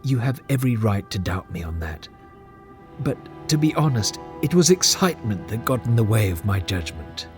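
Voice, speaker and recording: A man's voice: lowish voice, very animated, moderate pace. An actor playing an eager, conciliatory male official who speaks earnestly. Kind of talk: audio drama acting. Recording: music bed underneath.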